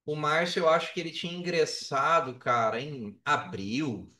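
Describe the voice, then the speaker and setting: Male podcast guest, Brazilian accent, high register, animated colloquial storyteller, recorded roomy and a little off the mic. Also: normal speed. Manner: animated, colloquial, acts out quoted dialogue. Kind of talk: casual personal storytelling in an interview. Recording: roomy echo, distant from microphone.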